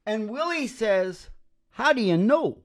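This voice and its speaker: A man's voice: sits high, animated, slow pace. An older man hosting a music show, chatting casually and rambling in a folksy, opinionated way. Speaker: host of an amateur radio-style music show. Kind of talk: casual rambling chat between songs. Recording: acoustic guitar behind the voice. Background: acoustic guitar.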